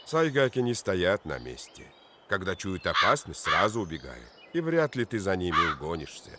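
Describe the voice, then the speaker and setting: A man playing a wise, upright old steppe dweller in a cartoon, measured and stern. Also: deep voice, very animated, heavy background noise. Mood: watchful, wary. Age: older. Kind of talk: cartoon voice acting.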